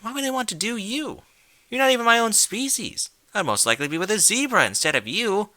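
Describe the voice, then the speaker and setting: Guy doing a girly pony mare voice, way higher pitched, scared and huffy, storybook style. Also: expressive, a little fast, pushed and bright, dry recording. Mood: indignant. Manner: shrill, angry questioning. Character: frightened, indignant young female pony. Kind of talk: fan fiction storybook reading.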